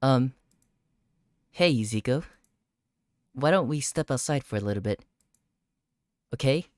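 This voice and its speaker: Woman reading as a deep, apologetic schoolgirl president. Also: expressive, unhurried, no room sound, studio quality. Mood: apologetic, soft. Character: apologetic, mild-mannered teenage girl club president in visual novel. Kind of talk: acted reading of game dialogue.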